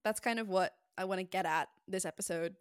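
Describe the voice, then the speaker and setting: A woman's voice: medium pitch, a little fast, clean tone, no room sound, studio quality. A young woman co-hosting a podcast, chatty and curious, with lots of likes and ums. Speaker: podcast co-host. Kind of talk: casual chat.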